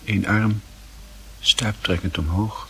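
A man narrating a literary radio play in a grave, measured manner, with a very husky, rough voice. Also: fairly low pitch, steady tone, fairly bright tone, a little hiss or hum.

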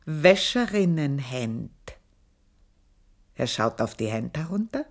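An actress playing an aged maidservant, proud, bitter and passionate, who tells her story in a slow, intense radio play monologue and sometimes sinks to a hushed whisper. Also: low voice, very animated, exaggerated voice. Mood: brooding. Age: older. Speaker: old maidservant telling her life story.

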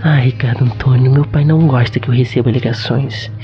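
A woman's voice: very deep voice, fairly even intonation, fairly quick. A woman acting a coy, sentimental young lady in love in a melodramatic radio soap. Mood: coy, tender. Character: Madalena Guadalupe, coy romantic young woman with strict father. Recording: dull lo-fi audio under music.

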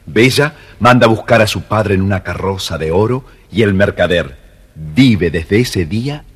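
A man narrating a scripted children's story in a measured, expressive way. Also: fairly low pitch, breathy edge.

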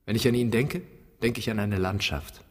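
A man's voice: fairly low pitch, moderate pace, close to an everyday voice. An actor playing a brooding grown son haunted by a father he never knew, speaking an introspective radio drama monologue. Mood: haunted, reflective.

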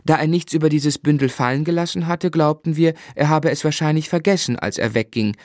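A man's voice: on the high side, brisk, a little mellow, no echo, natural voice. Actor as dry-recorded man narrating his boyhood. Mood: reflective. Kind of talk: literary first-person narration in radio drama. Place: dry studio.

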